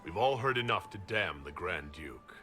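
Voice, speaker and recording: An actor playing a resolute, commanding Inquisitor in a video game, speaking firmly and formally. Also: male voice, deep voice, expressive, normal speed, pushed and bright, soft background music. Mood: accusing. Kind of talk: video game cutscene acting.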